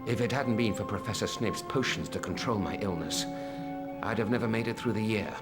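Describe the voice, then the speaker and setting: A man playing a gentle, weary teacher in a video game, speaking earnestly and with regret. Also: lowish voice, a little fast, natural voice. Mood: regretful. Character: gentle, weary male teacher resigning his post. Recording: loud music behind the voice.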